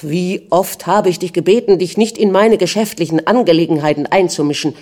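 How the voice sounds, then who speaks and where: An irritable prince, put on by a woman narrator, talking brusquely and impatiently. The voice sits a little low and sounds dark and mellow. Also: brisk, lightly acted. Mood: irritated. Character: irritable male prince, curt and dismissive.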